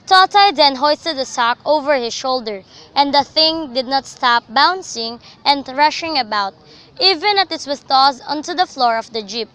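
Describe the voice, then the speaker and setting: A woman narrates a literary radio drama as a dramatic storyteller. Her voice is very high and bright. The amateur recording carries faint noise. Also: low-quality recording.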